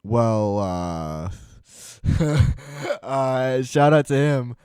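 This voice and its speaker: A man's voice: medium pitch, drawn-out words, a little mellow, clear, resonant tone, dry recording, clean studio sound. A man giving a casual, profane opinion rant as a video commentator, sarcastic and blunt, with lots of slang. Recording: music behind the voice. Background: background music.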